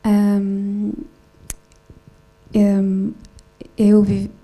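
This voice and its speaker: A woman who grew up in Switzerland talks about her film at a festival session. She speaks slowly and haltingly, with many fillers and restarts. Her voice is mellow and fairly flat. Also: mid-range voice, poor audio. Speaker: film director. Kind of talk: festival Q&A talk.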